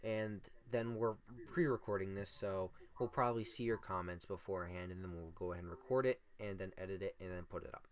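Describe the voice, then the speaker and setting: A young man with a deep, monotone voice co-hosting a casual improvised podcast and rambling through his points on a desktop USB mic. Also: brisk, few pauses. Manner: rambling, filler-heavy. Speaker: podcast co-host.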